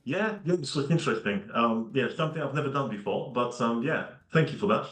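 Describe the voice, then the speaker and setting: A man's voice: mid-range voice, expressive, fairly quick, fairly bright tone, reverberant, soft background music. A man answering as an interview guest, talking in a hesitant, laid-back and chatty way. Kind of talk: casual video call interview.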